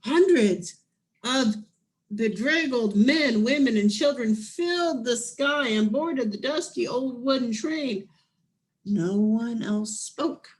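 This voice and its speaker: A woman reading a children's picture book aloud expressively, with deliberate phrasing and drawn-out emphasis. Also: fairly high pitch, animated, low-quality recording. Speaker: picture book reader, planetarium director.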